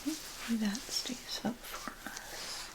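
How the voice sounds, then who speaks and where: A woman doing an ASMR role play as a caregiver, speaking softly and often in a whisper, in a gentle, unhurried, attentive manner. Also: fairly high pitch. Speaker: ASMR role-player acting as lice checker. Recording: clear background noise.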